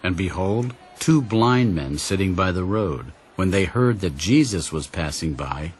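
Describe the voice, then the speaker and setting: A man narrating a dramatized Bible story in a measured, solemn storytelling manner. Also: sits low, animated, slight background noise. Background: background music.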